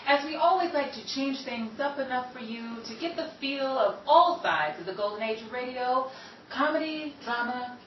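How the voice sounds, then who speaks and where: A woman's voice: sits high, expressive, normal speed, far from the mic, dull audio. A woman announcing and narrating a 1930s-style radio drama in a theatrical, declamatory way. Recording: roomy echo from off mic with loud crowd and noise.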